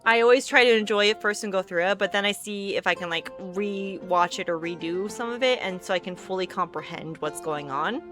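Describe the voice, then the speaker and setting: A woman's voice: mid-range voice, brisk, few pauses. A woman game streamer chatting casually on a livestream in a rambling, giggly manner. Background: video game music.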